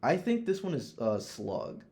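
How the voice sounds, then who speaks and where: A man talking casually as a podcast host, blunt and quick to swear as he gives his opinions. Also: medium pitch, sing-song, warm tone. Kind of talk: casual chat, ranking films.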